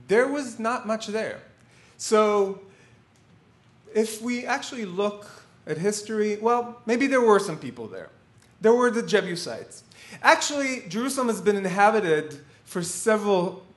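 This is a boastful, proud narrator voice, put on by a man mid-lecture. It is assertive and dismissive, its pitch sitting a little high. The sound rings in a roomy hall. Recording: echoey hall.

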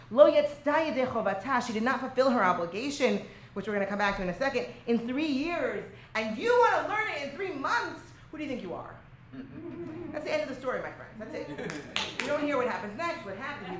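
A woman teaching a religious text class in an emphatic, interactive way, peppering her talk with quick check-in questions. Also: sits high. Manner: animated, emphatic, peppers talk with "right?" and "okay?"; switches between English and Aramaic or Hebrew quotation. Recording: echoey room with clear background noise.

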